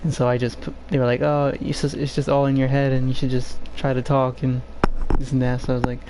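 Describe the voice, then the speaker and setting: A shy male streamer with a low voice, talking in an even, flat tone. He hesitates and fills gaps with "you know" and "like". A home desk microphone picks him up over a faint hiss. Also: quick pace, rough recording. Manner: soft-spoken, shy and hesitant, many fillers. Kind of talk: casual gameplay stream chat.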